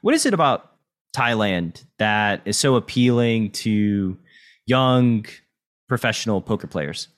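Male interviewer, slightly low voice, dry teasing humor. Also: expressive, measured pace. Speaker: interviewer host. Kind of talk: interview questions.

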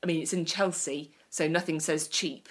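A woman's voice: low-pitched, fairly even intonation, moderate pace. A woman co-hosting a casual chat, talking with eager emphasis about design. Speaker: podcast co-host.

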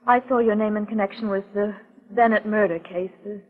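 A woman's voice: mid-range voice, fairly even intonation, fairly quick, narrow, tinny audio, close to an everyday voice. An actress playing a frightened, pleading young lady in a 1940s radio drama, earnest, hesitant and well-mannered. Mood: anxious. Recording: thin, band-limited 1940s radio recording.

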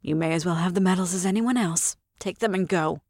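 A man voicing a proud, desperate and quietly defiant noblewoman in an audiobook, with a composed, breathy delivery. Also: high-pitched, fairly quick, no echo, clean studio sound, pitched above the performer's own voice. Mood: calm, resigned. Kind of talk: audiobook character voice.

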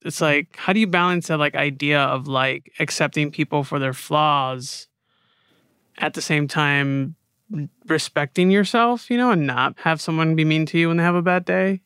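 Bright, crisp-voiced man co-hosting casually. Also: on the high side, normal speed, no echo, clean studio sound. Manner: informal, frequent 'like'. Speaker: podcast co-host, interviewer. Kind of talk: casual conversational interviewing.

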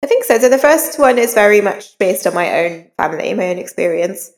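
A woman's voice: medium pitch, animated, a little fast, in a large hall. A woman talking conversationally as a guest author in an interview.

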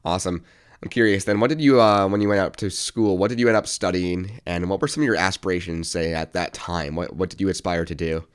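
A man's voice: sits low, steady tone, fairly quick, smooth. A man hosting a podcast, reading a scripted intro and then asking interview questions with short affirmations.

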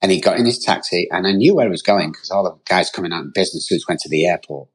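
A middle-aged man from Manchester speaks with a husky, slightly low voice. He tells his story as a podcast guest, open and earnest, drifting from one memory to the next. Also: fast. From England.

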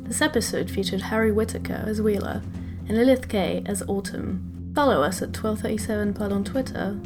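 Female announcer, mid-pitched, scripted podcast outro credits with music clearly underneath. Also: fairly quick.

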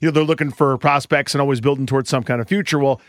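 A man's voice: medium pitch, steady tone, racing, no echo, clean studio sound. A man co-hosting a sports podcast, giving casual baseball analysis.

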